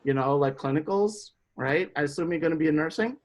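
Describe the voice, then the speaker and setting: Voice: mid-range voice, fairly quick. An instructor from Indonesia giving a classroom biology lecture, explaining step by step and often checking in with students.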